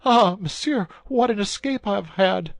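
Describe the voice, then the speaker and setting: A man's voice: sits high, lively intonation, normal speed, vocal fry, no echo, pitched above the performer's own voice. A man doing a stammering, frightened servant.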